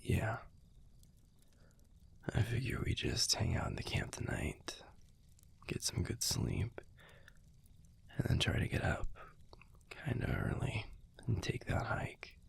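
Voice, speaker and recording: A deep-voiced young American werewolf boyfriend, acted by a man, murmurs close to the listener in an audio roleplay. His voice is heavily creaky and half whispered. He is gruff yet tender, wry and nostalgic, taking his time with casual, halting phrases. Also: sing-song, heavily acted. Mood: relaxed, soft. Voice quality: breathy, husky, gravelly low voice. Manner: laid-back, murmured, halting, casual swearing. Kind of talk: intimate audio roleplay, speaking softly to the listener.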